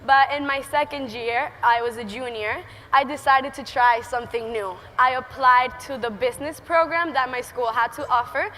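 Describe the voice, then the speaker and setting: A woman's voice: sits high, moderate pace, hardly any pauses, sharp tone. An eighteen-year-old woman from Venezuela with a Venezuelan Spanish accent, giving a nervous, giggly but earnest prepared talk on a conference stage. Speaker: student entrepreneur speaker. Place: large conference hall stage. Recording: reverberant hall with faint ambience.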